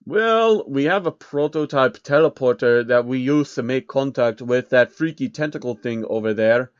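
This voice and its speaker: A man's voice: medium pitch, moderate pace, clear, resonant tone, lo-fi sound, lightly acted. A man voicing a smug, matter-of-fact laboratory scientist for a story reading. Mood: explaining. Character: tall, pale, smug male laboratory scientist.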